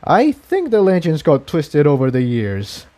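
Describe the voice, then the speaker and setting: A man's voice: fairly high pitch, huge pitch swings, a little slow, warm tone. A man voicing a weary, earnest engineer pony in a storybook reading, talking in a reflective, confiding way.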